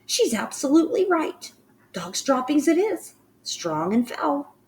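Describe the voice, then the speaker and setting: A woman voicing a crowd of female witches shouting in disgust. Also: high voice, big pitch swings, unhurried, slight background noise, voice pushed up.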